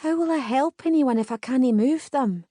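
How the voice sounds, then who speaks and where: A woman's voice: sits high, moderate pace, dark tone, no room sound, studio quality, pitched above the performer's own voice. A woman voicing a timid young girl cousin with an anxious, questioning manner.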